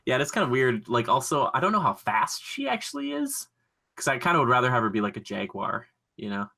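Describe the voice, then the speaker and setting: A man with a bright, forward voice and a middling pitch hosts a casual chat show about pop culture. He talks in an animated, rambling flow and leans on dry sarcasm. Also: a little fast, vocal fry. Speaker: podcast host.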